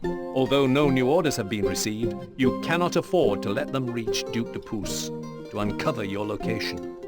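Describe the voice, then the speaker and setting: A man performing as the formal, measured storybook narrator of a medieval war game. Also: mid-range voice, loud music behind the voice, close to an everyday voice.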